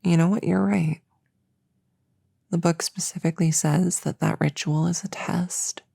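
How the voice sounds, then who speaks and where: A woman playing a flustered, earnest young novice witch in an ASMR audio roleplay, soft-spoken and halting, slipping into whispers. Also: low voice, creaky, husky, dry recording, heavily acted. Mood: defensive resolve.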